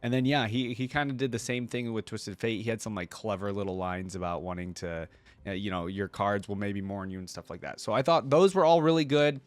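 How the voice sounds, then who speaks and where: A man's voice: lowish voice, fairly quick, no room sound. A man hosting a reaction video, giving casual, informal commentary and thinking aloud as he goes.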